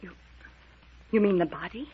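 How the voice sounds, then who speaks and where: A woman's voice: on the low side, moderate pace, lightly acted. A frightened young woman, played by an actress in a radio melodrama, pleads anxiously. The old recording is muffled, with a faint layer of noise. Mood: anxious, pleading. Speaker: actor. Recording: dull, noisy vintage radio recording.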